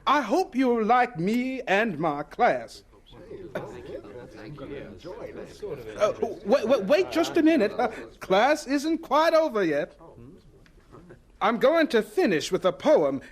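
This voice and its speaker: An actor in an audio drama playing an earnest, devout and gentle agriculture teacher who is deferential to his superiors. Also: high voice, full character voice. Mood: warm, cheerful. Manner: measured, hesitant and stammering with superiors.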